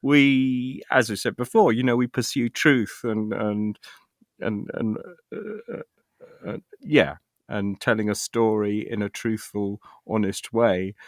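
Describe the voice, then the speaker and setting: Man, British accent, middle-aged, low register, reflective, hesitant conversational phrasing, dry recording. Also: animated, slow, dry recording. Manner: hesitant, thoughtful, with repeated false starts. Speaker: interview guest, actor and writer.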